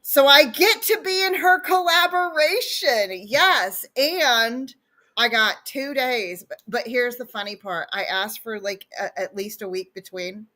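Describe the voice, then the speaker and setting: A woman's voice: fairly high pitch, measured pace, bright, forceful tone. A sniffly woman chatting casually and enthusiastically as co-host of a livestream, laughing often and talking over a video call.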